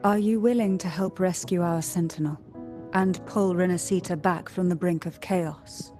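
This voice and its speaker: A poised, knowing noblewoman from a video game voiced by a woman. Her voice sits fairly low and her phrasing is formal, measured and eloquent. Clear music plays behind her. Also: steady tone, lightly acted. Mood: solemn, courteous. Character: poised, cultured noblewoman of secretive schemes, calm and knowing. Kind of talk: video game voice acting, scripted dialogue.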